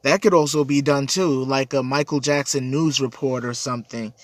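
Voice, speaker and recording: A man's voice: medium pitch, steady tone, normal speed, dry recording. Stuffy-voiced man hosting a dry livestream. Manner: earnest, rambling, repeats words. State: stuffy, congested from a cold. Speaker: livestream host. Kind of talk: casual livestream chat reading viewer comments.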